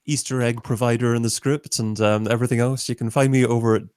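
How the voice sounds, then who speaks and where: A man's voice: mid-range voice, fairly even intonation, fast talker, a little mellow, slight background noise. A man co-hosting a marketing podcast, upbeat and playful as he moves the show along. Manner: upbeat, playful sign-offs. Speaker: co-host.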